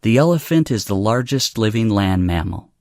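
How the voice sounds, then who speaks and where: A man reading academic practice texts aloud from a script, deliberately and phrase by phrase. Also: lowish voice, animated, a little mellow, no echo, clean studio sound.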